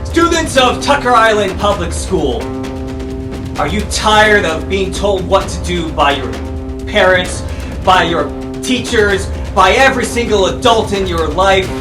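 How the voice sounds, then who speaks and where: A man's voice: sits high, lively intonation, a little slow, voice pushed up. A man voicing a defiant teenage werewolf pack leader, rallying a crowd in a tabletop game. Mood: rousing, defiant. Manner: loud, rallying speech to a crowd. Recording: roomy, distant mic with music underneath.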